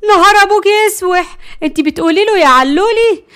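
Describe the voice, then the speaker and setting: Woman acting a fiery, fast-scolding Egyptian grandmother. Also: high-pitched, close to an everyday voice. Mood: furious, jealous. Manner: loud, sharp-tongued, nagging, exclaiming. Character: fiery, exasperated, scolding grandmother, jealous wife.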